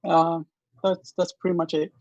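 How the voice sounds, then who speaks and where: A man in his late twenties from New York, introducing himself in a halting, plain way. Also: on the high side, little pitch movement, no echo. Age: late 20s. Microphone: video call. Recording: dry, muffled line.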